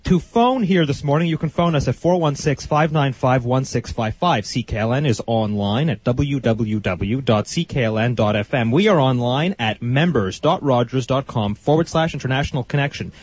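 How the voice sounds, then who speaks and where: A man co-hosting a community radio talk show, chatting and reading station announcements at a brisk clip. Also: mid-range voice, lively intonation, a little mellow, breathy edge, no room sound. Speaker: radio co-host. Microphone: studio microphone.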